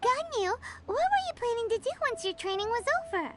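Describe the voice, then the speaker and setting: A squeaky, bouncy little fairy companion. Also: female voice, big pitch swings, noisy background. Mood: excited. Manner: chirpy, blunt, quick to exclaim. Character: tiny excitable female fairy-like travel companion. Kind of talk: video game voice acting. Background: game music.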